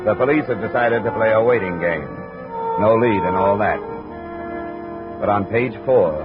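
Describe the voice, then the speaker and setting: Low-voiced hard-boiled American radio detective played by a man. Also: natural voice. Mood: terse, narrating. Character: wry, hard-boiled male insurance investigator. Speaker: lead actor and narrator of a radio detective series. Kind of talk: 1950s radio noir acting and narration. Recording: dull vintage radio recording with music.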